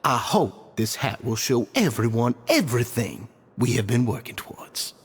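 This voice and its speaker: A man's voice: mid-range voice, sing-song, normal speed, slight background noise. An actor playing an earnest, courteous man who heads a prisoners' society, speaking formally and deferentially with idealistic fervor. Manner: formal, deferential and idealistic, sing-song emphasis. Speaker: society head. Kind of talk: video game dialogue.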